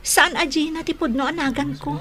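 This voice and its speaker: A woman's voice: high-pitched, steady tone, a little fast, a crowd in the background, lightly acted. A woman playing a pleading, guilty deceiver. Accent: Ilocano. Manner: pleading, apologetic. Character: guilty young woman who deceived her lover. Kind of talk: radio drama acting.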